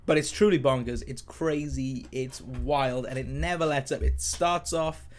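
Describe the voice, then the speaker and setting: A man's voice: medium pitch, fairly even intonation, unhurried. A man hosting a casual, rambling film review, enthusiastic and emphatic, his speech slightly impeded by a sore mouth. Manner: enthusiastic, informal, emphatic and repetitive. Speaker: podcast host, film reviewer.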